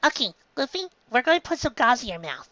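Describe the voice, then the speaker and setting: Woman putting on a squeaky, earnest little cartoon reindeer doctor. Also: very animated, fast talker, sharp tone, no echo, lo-fi sound. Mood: gentle. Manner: earnest, giving instructions. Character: small, earnest, bossy young male reindeer doctor, cartoon.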